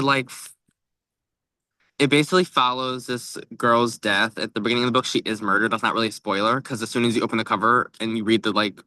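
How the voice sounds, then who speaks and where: A man's voice: mid-range voice, sharp tone, clean tone, no room sound. A young man co-hosting a book podcast, explaining things in an informal, casual chat style.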